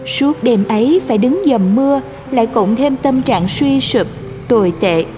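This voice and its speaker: A woman's voice: on the high side, animated, a little slow, warm tone. A woman narrating a scripted story. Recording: long hall reverb, faint music.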